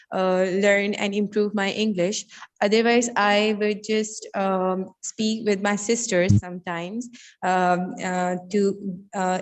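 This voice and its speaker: Hesitant woman speaking slowly in a reverberant room. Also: medium pitch. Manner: hesitant, many fillers. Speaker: first-time show participant. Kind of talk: casual answer in a second language. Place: video call.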